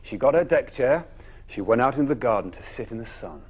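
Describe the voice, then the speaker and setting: A man reading a children's bedtime story expressively, with dramatic pauses and emphatic phrasing. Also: medium pitch, expressive, husky.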